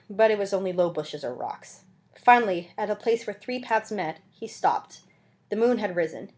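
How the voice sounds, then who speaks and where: A woman's voice: medium pitch, darkish tone. A woman reading a classic novel aloud as narrator, in an earnest, theatrical and emphatic manner. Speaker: amateur audiobook reader. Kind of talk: dramatic literary reading aloud.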